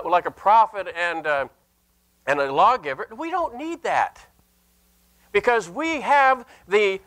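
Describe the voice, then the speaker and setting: An older man, a Lutheran pastor, preaching a sermon in an earnest, emphatic manner with deliberate pauses and repeated phrases. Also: sits high, fairly bright tone. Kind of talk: sermon with scripture and anecdote. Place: indoor church sanctuary at a lectern.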